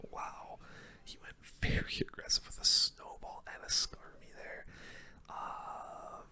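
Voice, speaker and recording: A video game streamer giving casual ASMR gameplay commentary in a soft, breathy whisper, with relaxed gamer slang. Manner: casual gamer slang, murmured reactions to play.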